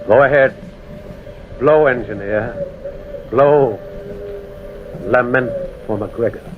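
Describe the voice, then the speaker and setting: A man's voice: mid-range voice, exaggerated voice. A man acting a haunted, anguished witness narrating a ghostly tragedy in theatrical old-time radio horror style. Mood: mournful. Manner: theatrical, declamatory. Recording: tinny, narrow old radio recording, faint noise.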